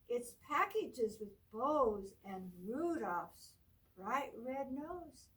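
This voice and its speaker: A high-voiced woman reads a rhyming picture book to small children at a very unhurried pace. She coaxes her little listeners gently and lifts her voice on each rhyme, sounding a bit distant from the microphone. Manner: slow, sing-song read-aloud with rising emphasis on rhymes; gently coaxing, talks to young listeners. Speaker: children's librarian storyteller. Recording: voice distant from the mic.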